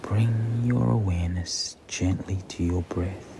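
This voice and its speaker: A man guiding a meditation in a soothing, breathy near-whisper with long pauses. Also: deep voice, music in the background. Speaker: meditation guide. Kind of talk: slow guided meditation. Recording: clearly audible background ambient noise.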